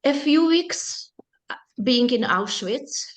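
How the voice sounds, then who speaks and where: A woman in her seventies whose first language is Hungarian, giving a slow, deliberate personal testimony as a presenter at a support group. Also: high voice, expressive. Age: 70s.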